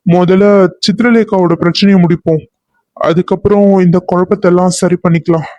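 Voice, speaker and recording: A man's voice: high-pitched, fairly even intonation, a little fast, darkish tone, muffled recording, natural voice. A man playing a supportive young brother-in-law who speaks in an agreeable, soothing way in an audio drama.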